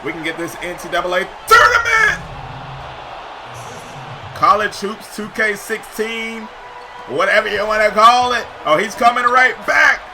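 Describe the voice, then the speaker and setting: A man giving excited, slangy live commentary over basketball video game play, shouting at big plays, his voice getting hoarse. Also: sits high, measured pace, pauses often, background noise. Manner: slangy, says "yo" often. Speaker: gaming YouTuber.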